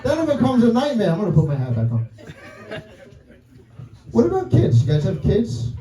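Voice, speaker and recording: A man's voice: sits high, wildly swooping pitch, moderate pace, dark tone. A man in his thirties with a New York accent doing a profane stand-up set, constantly checking in with the crowd. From New York City. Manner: brash, profane, keeps asking the crowd "right?" and "guys". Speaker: stand-up comedian. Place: comedy club stage. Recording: roomy club sound from a distance. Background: audience laughter.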